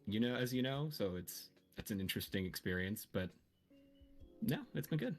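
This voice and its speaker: A man's voice: fairly low pitch, lively intonation, normal speed. A man chatting casually as a guest on a livestream, in an easygoing, understated way. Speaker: stream guest. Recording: soft music playing behind the voice. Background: soft lofi music.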